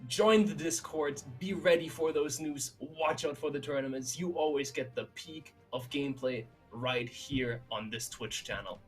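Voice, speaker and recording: A man commentating an esports tournament on a livestream in an emphatic, hype-building way, his voice a little raspy. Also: on the high side, a little slow, few pauses, faint music behind. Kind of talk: excited live game commentary and post-match talk.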